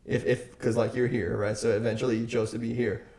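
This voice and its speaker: A young man from Brazil, co-hosting a podcast and asking questions in a measured way with fillers. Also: medium pitch, level tone, gravelly, a little mellow, echoey room. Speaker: podcast co-host. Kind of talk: conversational podcast interview.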